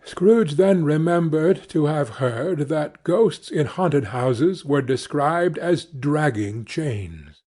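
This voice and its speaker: A man reading a classic novel aloud as an audiobook, with measured and expressive storytelling. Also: a little high. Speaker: audiobook reader. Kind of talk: scripted narration of a classic novel.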